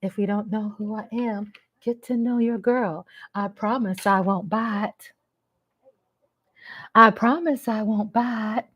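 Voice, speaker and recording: A woman's voice: mid-range voice, fairly even intonation, slow pace, breathy edge, muffled recording. A woman from the American South with a Texas accent, hosting a casual live chat stream from home in a playful, teasing way.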